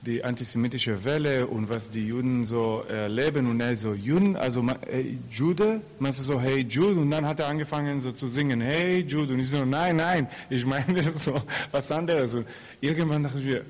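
A man's voice: medium pitch, normal speed, a little mellow. Casual man with an Israeli accent. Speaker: actor and theatre maker. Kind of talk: casual interview answers.

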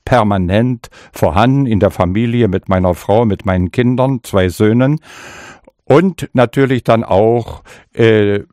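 Slow, measured older male poet. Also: on the low side, darkish tone, no echo, clean studio sound. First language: Sorbian. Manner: slow, measured, reflective with hesitations. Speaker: poet, interview guest. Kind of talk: interview answers and author reading.

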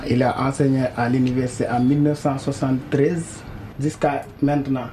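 A male radio host introduces guests and questions in loose, halting sentences. His tone is warm and mellow, with faint background noise behind him. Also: medium pitch, little pitch movement. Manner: informal, halting phrasing. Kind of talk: hosting a radio talk show.

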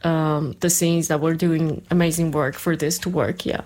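Chatty woman, slightly low voice. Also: steady tone, moderate pace, darkish tone. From Iran. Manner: chatty, uses "like" often. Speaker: guest, community theater performer. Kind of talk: casual interview talk.

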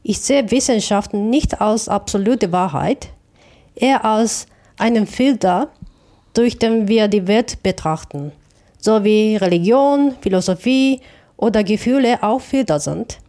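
A woman from Japan with a Japanese accent, giving reflective interview answers in a thoughtful, halting way with self-corrections. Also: medium pitch, mellow. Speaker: interview guest, artist.

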